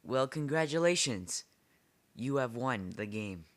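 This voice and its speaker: A man's voice: mid-range voice, expressive, measured pace, dry recording, lightly acted. A man playing an urbane, smug aristocratic general in an amateur drama, speaking in a formal, genteel manner. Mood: gracious, amused. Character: urbane aristocratic male general who hunts people for sport. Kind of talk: amateur short story dramatization.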